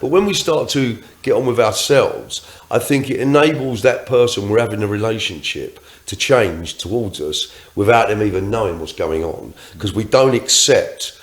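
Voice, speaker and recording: A man's voice: on the low side, expressive, normal speed, hardly any pauses. A man co-hosting a podcast, speaking earnestly and reflectively in a casual group discussion. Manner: earnest, reflective, talks in long loose runs. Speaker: podcast co-host. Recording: roomy echo.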